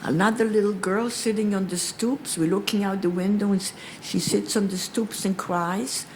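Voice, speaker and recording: An older woman who grew up speaking Polish gives a personal testimony in English. Her phrasing is halting and a little broken, and she often repeats a word before moving on. A faint noise sits under her voice, which is pitched in a middle range. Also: fairly even intonation, darkish tone, rough recording. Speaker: Holocaust survivor speaker.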